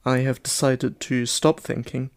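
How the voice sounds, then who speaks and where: A man co-hosting a casual film podcast, halting and dryly playful. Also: medium pitch, steady tone, dry recording. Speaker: podcast co-host.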